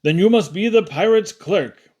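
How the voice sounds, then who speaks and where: A man's voice: high-pitched, expressive, normal speed, a little mellow, pitched above the performer's own voice. A man voicing a bossy pirate captain in a storybook poem, giving commanding, declamatory orders.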